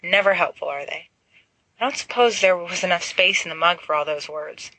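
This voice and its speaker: A woman voices a sensible teenage boy wizard in a storybook, bright and close. He is deadpan and sarcastic, clipping off each wry remark. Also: lowish voice, moderate pace, no room sound, poor audio.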